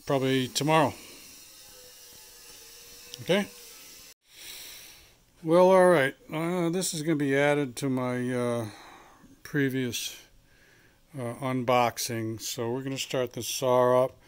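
A man's voice: medium pitch, fairly even intonation, takes it slowly, long pauses, clean tone. Plainspoken man demoing a chainsaw. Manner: rambling, plainspoken, thinks aloud with many fillers. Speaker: channel host. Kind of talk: casual how-to demonstration. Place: home garage workshop, then outdoors.

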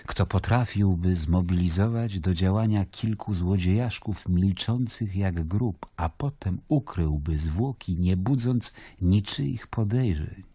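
A weary Roman governor telling his story in a radio drama. His voice is low and slightly husky, and he speaks slowly. The tone is reflective and dryly ironic. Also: mellow, no room sound, studio quality, heavily acted. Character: Pontius Pilate, weary Roman governor of Judea narrating a report.

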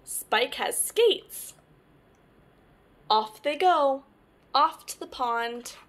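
A young woman hosting storytime, reading a children's picture book aloud with animated, deliberate phrasing. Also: high voice. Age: young adult. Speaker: storytime host.

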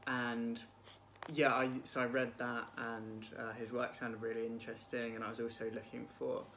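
A man's voice: mid-range voice, flat delivery, moderate pace, fairly bright tone. Hesitant young man, noisy recording. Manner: hesitant, thoughtful, trailing phrasing. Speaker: book vlog guest, PhD student. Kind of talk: casual chat about books. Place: small room at home, indoors. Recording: clearly noisy home room recording.

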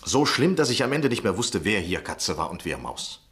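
Literary gentleman, low-pitched, rueful, obsessive, eloquent, self-justifying, radio play. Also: big pitch swings, moderate pace. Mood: exasperated, brooding. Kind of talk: German radio play acting.

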